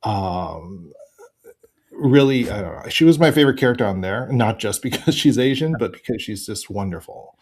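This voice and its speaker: A man speaking as a podcast guest in casual fan chat, rambling in an animated way and interrupting himself. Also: lowish voice, moderate pace.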